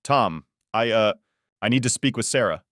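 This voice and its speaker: A man's voice: fairly low pitch, expressive, a little fast, fairly bright tone, no room sound, clean studio sound. A man playing a guilty male lover in an audiobook drama, strained, hesitant and pleading. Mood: urgent, guarded. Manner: hesitant, stammering, urgent.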